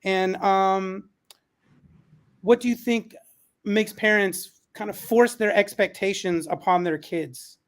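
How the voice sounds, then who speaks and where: Guy hosting a panel talk, pretty high voice, really encouraging and affirming. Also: slow. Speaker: panel moderator. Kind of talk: moderating an online panel discussion.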